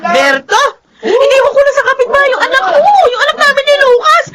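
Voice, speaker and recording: A high, swooping female voice plays a cocky, carefree young wife in a radio drama. She is brash and bossy, over a noisy recording. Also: sing-song, brisk. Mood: boastful. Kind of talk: Tagalog radio drama acting.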